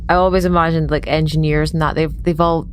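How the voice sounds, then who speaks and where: Woman with a lowish voice, casual game player chat, bit of noise behind. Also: flat delivery, fast talker. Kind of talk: casual chat, improvising her character.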